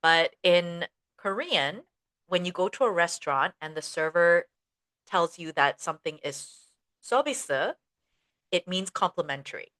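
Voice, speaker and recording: A young woman chats as co-host of a teaching podcast, her voice sitting a little low. She tells stories with energy and slips into quick acted-out lines of dialogue. The tone stays relaxed and conversational. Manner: animated storytelling, acts out quoted dialogue. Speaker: podcast co-host, ESL educator. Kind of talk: casual chat.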